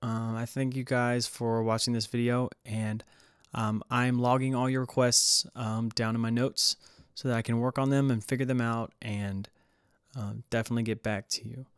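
A man's voice: medium pitch, little pitch movement, normal speed, smooth, dry recording, clean studio sound. A man giving a casual, hesitant tutorial voice-over as he explains music production. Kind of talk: casual screen-recorded tutorial narration.

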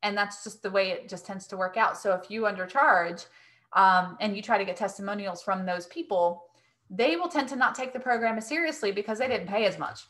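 A woman speaks as a webinar host and coach. Her voice is bright and crisp-edged, at a middling pitch. She talks casually and directly, as if answering questions over a video call. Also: fairly quick. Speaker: host, dog training business coach.

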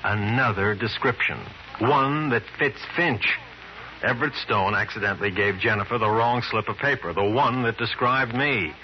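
An actor playing a teasing, tender police captain in love in a 1950s radio drama. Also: male voice, medium pitch, moderate pace, natural voice. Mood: amused, confident. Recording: muffled old radio recording, faint noise.

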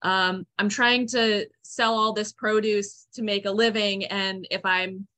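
Chatty young woman, bright voice. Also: mid-range voice, steady tone, measured pace. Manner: chatty, upbeat, many fillers. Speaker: farmer and presenter. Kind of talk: casual online presentation. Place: room at home, indoors.

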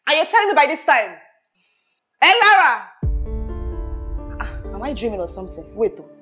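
A woman's voice: a little high, very animated, normal speed, soft background music. An actress playing a haughty, hot-tempered rich woman who scolds and repeats her questions emphatically in a film drama. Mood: angry, indignant. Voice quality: loud, shouting when angered. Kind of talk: low-budget film drama acting.

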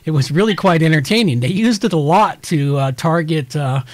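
A man's voice: a little high, normal speed, no echo. A man hosting a tech podcast, explaining things casually in a rambling way with many restarts and everyday examples. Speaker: podcast host.

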